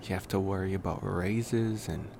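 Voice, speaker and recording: A man playing a reflective, gentle young college vampire in an ASMR roleplay, musing softly and intimately to the listener. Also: sits low, creaky. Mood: wistful, pondering. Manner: musing, rambling, with pauses and sighs. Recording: noticeable steady background noise.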